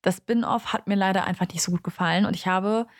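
A young woman who is a native German speaker talks through books in a casual, chatty review. Her voice sits at a medium pitch. She is informal and candid, correcting herself as she goes. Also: fairly even intonation, quick pace. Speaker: book reviewer.

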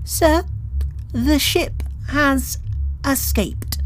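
A storyteller voicing a frightened young male lieutenant in a high, nervous voice. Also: extremely slow, fairly bright tone, full character voice, low-quality recording. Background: background music.

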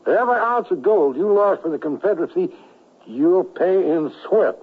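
A man's voice: sits high, lively intonation, normal speed, husky. An actor playing a vengeful, mockingly polite Confederate gunman in a western radio drama. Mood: vengeful, gloating. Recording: dull vintage radio broadcast.

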